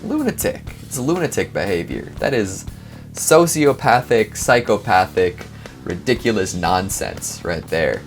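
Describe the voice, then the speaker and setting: A man hosting a casual comedic reaction show, talking in an animated, wry, rambling way with sudden exclamations. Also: fairly low pitch, a little slow, faint music behind. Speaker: host reacting to scary clips.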